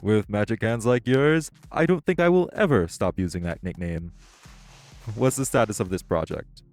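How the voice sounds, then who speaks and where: Male, lowish voice, actor as self-assured tech executive for audio roleplay: polished, articulate, friendly yet guarded, music underneath. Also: expressive, a little mellow. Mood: warm, professional. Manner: polished, measured, articulate corporate speech. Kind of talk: audio roleplay acting, one-sided dialogue.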